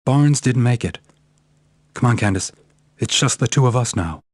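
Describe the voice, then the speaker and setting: A man playing a steady, protective secret agent hero in a video game. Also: mid-range voice, normal speed, dry recording, studio quality, natural voice. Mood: grave. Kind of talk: video game cutscene acting.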